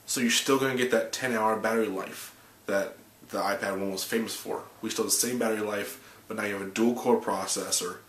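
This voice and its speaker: A man's voice: lowish voice, fairly even intonation, fairly quick, fairly bright tone. Stuffy-nosed guy recapping tech news. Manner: chatty, upbeat, reads from notes with restarts. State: stuffy from a cold. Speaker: tech video host. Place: small home office room. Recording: echoey room, faint room hum.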